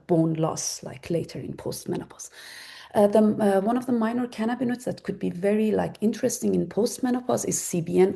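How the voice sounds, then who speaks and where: A woman in her forties, a native Persian speaker, giving a webinar talk on medical research in a halting way, with many fillers and restarts. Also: on the low side, creaky, mellow. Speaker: webinar presenter. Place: Germany.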